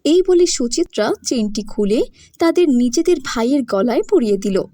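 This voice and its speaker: A woman narrates a cartoon storybook tale from a script. Her voice is high yet mellow, recorded close and dry. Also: expressive, normal speed, dry recording.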